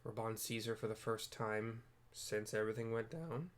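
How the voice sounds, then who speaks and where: A man's voice: lowish voice, normal speed. A man hosting a game playthrough with casual, improvised scene descriptions, rambling and self-deprecating as he searches for words. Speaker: host of a game playthrough video.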